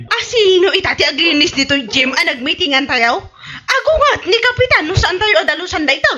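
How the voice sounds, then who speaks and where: High sharp-voiced bossy clubwoman played by a woman, Ilocano Filipino accent, vain, haughty, swooping delivery, radio comedy. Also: lively intonation, brisk, heavily acted. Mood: indignant, haughty. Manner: haughty, imperious. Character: vain, bossy woman president of village women's club.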